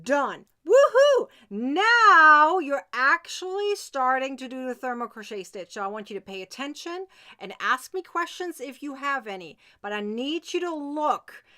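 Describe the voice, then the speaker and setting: Bright, high-voiced woman teaching a craft. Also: animated, moderate pace, hardly any pauses. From Germany. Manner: chatty, insistent, often checking with "okay?". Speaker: crochet tutorial host. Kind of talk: live step-by-step craft tutorial.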